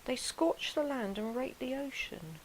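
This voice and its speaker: A woman reading a poem as a wondering, wary mermaid, in a breathy, measured storytelling manner. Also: high voice, animated, rough recording. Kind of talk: dramatic poetry reading. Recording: faint background hiss.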